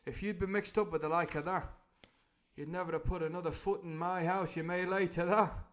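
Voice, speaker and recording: A man voicing a loud, domineering pirate landlord from a storybook, blustering in sailor's talk. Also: steady tone, brisk, close to an everyday voice. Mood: stern. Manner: booming, blustering, sailor's speech.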